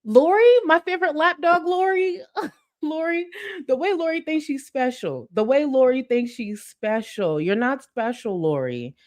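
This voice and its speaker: A woman's voice: sits high, lively intonation, measured pace. A woman hosting a live commentary stream, reading chat and mocking her target with heavy sarcasm and swearing.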